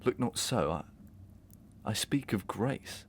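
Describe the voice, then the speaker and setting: A man playing a cold, taunting murderous husband in a Victorian melodrama, speaking in measured, formal, archaic phrases. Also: on the low side, very animated, slightly rough, heavily acted. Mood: commanding. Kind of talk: Victorian melodrama audio acting.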